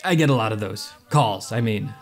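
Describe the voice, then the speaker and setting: A man's voice: lowish voice, lively intonation, normal speed, faint music behind, natural voice. An actor playing an eccentric, rambling male inventor in an audio drama, with flippant, wordy showman patter. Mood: flippant, welcoming.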